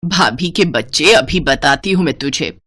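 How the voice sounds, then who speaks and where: A woman's voice: sits low, expressive, measured pace, fairly bright tone, dry recording, pitched below the performer's own voice, exaggerated voice. A woman voicing a stern, bossy older Indian mother-in-law in a family storybook tale. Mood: scolding. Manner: nagging, bossy.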